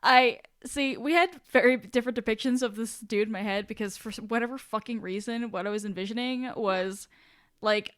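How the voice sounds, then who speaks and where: A woman's voice: a little high, a little fast. A woman co-hosting a podcast in casual chat, blunt and exclamatory, swearing freely. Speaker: podcast co-host.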